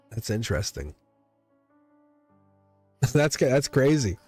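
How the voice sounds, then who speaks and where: A man's voice: mid-range voice, a little mellow, slightly rough, no room sound. Chatty, laughing male tarot streamer. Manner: chatty, laughs often, teasing. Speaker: tarot reader. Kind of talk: live-stream tarot reading.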